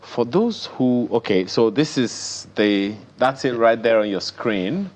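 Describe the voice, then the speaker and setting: A man's voice: mid-range voice, animated, slow, darkish tone. A man with a Nigerian accent hosting a television morning show, chatting in a teasing, joking way. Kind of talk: live television interview chat.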